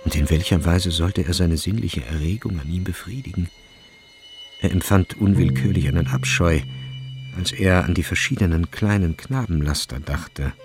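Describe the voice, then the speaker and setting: A man narrating a literary radio drama in a measured, grave and deliberate way. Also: sits low, over music.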